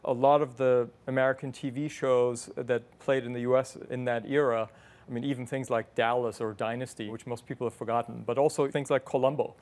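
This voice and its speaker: A man's voice: medium pitch, level tone, brisk, a little mellow. Thoughtful man in his fifties. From West Germany. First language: German. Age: 50s. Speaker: interview guest. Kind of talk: reflective conversational storytelling.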